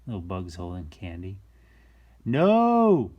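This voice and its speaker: A man hosting a children's story time, reading aloud in a playful, expressive way with chatty, folksy asides and shifts between hushed and exclaimed delivery. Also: sits low, slow pace, highs cut off. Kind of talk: animated read-aloud with casual asides to young viewers.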